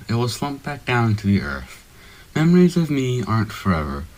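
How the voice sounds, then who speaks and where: Man as a low, doom-laden radio pitchman. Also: poor audio. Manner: ominous, portentous, building to intensity. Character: grave, doom-laden existential pitchman for marker pens. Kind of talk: parody radio advertisement monologue.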